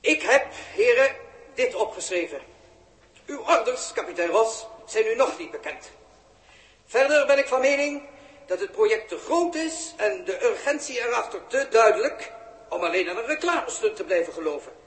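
An actor playing an earnest, pedantic audience member with a stiff, long-winded manner in a 1960s radio play. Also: male voice, extremely high pitch, animated, normal speed, a bit bright, exaggerated voice. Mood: pedantic, insistent. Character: earnest, pedantic male audience member reading prepared questions. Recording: echoey early 1960s radio recording.

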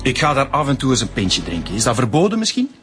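A touchy ex-convict with a Flemish accent. He answers questioning curtly and defensively. His voice sits at an ordinary middle pitch, with music playing under the scene. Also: wildly swooping pitch, fast talker, a bit bright. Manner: defensive, curt. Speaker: ex-convict suspect. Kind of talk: radio drama acting.

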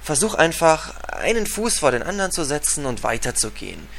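A man's voice: a little high, big pitch swings, a little fast, gravelly, fairly bright tone, a little hiss or hum, full character voice. A man playing a pompous, overearnest hero and comforter of comrades in a parody audio drama, talking theatrically. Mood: earnest, consoling. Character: pompous heroic speechmaker, earnest comforter of comrades.

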